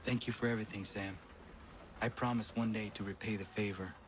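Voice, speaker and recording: A man's voice: on the low side, steady tone, fairly quick, slight background noise, close to an everyday voice. An actor playing an earnest, principled young man in a video game, formal and stubborn in speech. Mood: grateful. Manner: formal, earnest, few contractions.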